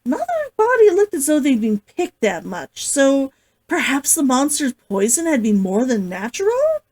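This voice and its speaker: A woman hosts an online read-along with an expressive, animated delivery. Her voice sits on the high side and moves widely in pitch. She sounds like an author sharing her work with viewers. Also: moderate pace. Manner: giggly, gushing, expressive. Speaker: host, author reading along. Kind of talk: casual livestream chat and novel read-aloud.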